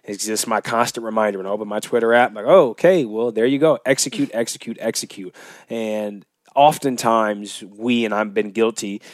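A man's voice: lowish voice, fairly even intonation, brisk, no room sound, clean studio sound. A man co-hosting a podcast, talking casually and motivationally, with emphatic repetition of phrases. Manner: emphatic, repeats phrases for effect. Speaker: podcast co-host.

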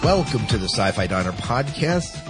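A man's voice: mid-range voice, sing-song, moderate pace, loud music behind the voice. A man hosting a podcast in casual, informal chat, often repeating his words. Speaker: podcast host.

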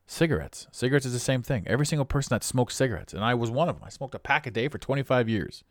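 A middle-aged man with a raspy voice, hosting a podcast with a blunt, preachy motivational monologue. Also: medium pitch, expressive, very fast, dry recording, clean studio sound. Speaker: podcast host.

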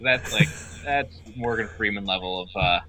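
A man's voice: on the low side, sharp tone, rough recording. A man co-hosting a movie podcast, joking and goading the others. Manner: joking, goading, quick laughter. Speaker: podcast co-host. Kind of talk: casual movie review chat.

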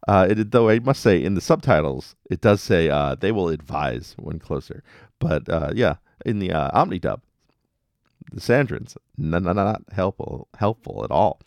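A man's voice: low voice, very animated, normal speed, creaky, a little mellow, no room sound. A man hosting a podcast, giving a casual episode recap with wry, dry asides. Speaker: podcast host.

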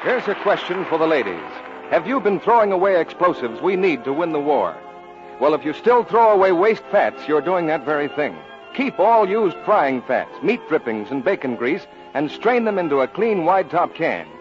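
A man's voice: a little high, very animated, normal speed, crowd noise. A man reading scripted announcements in a 1940s radio announcing style. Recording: dull vintage 1940s radio, music behind.

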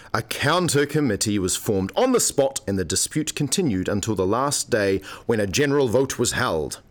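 An actor playing a formal, dryly witty male academic who explains things precisely in a radio play. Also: fairly low pitch.

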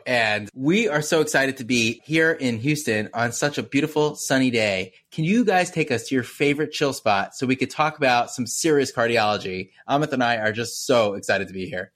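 A man of middling pitch co-hosts a podcast in a dry, close recording. His delivery is scripted and enthusiastic. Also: normal speed, few pauses, fairly bright tone, no room sound. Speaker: podcast co-host.

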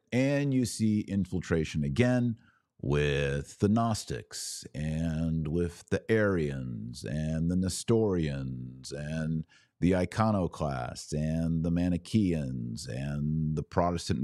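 Male religious podcast host, extremely low voice, emphatic and earnest delivery, very dry sound. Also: dark tone, no echo. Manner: earnest, emphatic, repeats phrases for stress. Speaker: podcast host answering live viewer comments. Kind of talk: live religious commentary.

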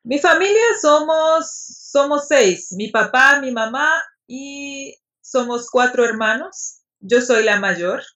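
A middle-aged woman with a Salvadoran accent, a language teacher answering interview questions hesitantly with soft pauses. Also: high-pitched. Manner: hesitant, laughs softly between answers. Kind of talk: conversational interview. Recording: dull, lo-fi audio.

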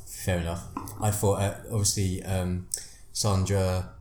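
A man co-hosting a casual podcast chat, excitable and quick to interject with jokes. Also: sits low, slight background noise. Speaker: podcast co-host. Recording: roomy echo.

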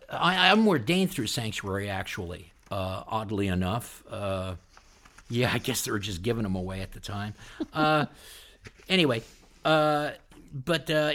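A man's voice: mid-range voice, fairly bright tone. A man hosting a music show in casual, rambling, hesitant chat, introducing songs.

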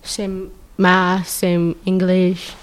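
Halting teenage girl. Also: on the low side, completely flat delivery, clean tone. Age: teens. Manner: plain, halting answers. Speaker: interviewee.

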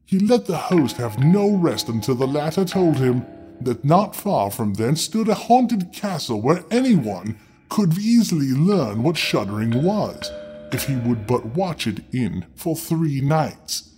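Animated man telling a dark fairy tale. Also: fairly high pitch, measured pace. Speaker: storyteller, host. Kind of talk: theatrical fairy tale narration with playful asides. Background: music.

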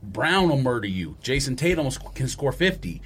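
A man's voice: medium pitch, big pitch swings, normal speed, fairly bright tone. A man co-hosting a sports podcast, casually breaking down games in conversation, recorded with some background noise. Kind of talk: casual sports analysis chat.

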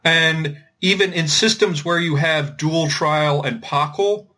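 A man explaining things informally as a podcast host, thinking aloud in a halting way with repeated words. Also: a little high, bright, forceful tone. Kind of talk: informal explanatory discussion of linguistics.

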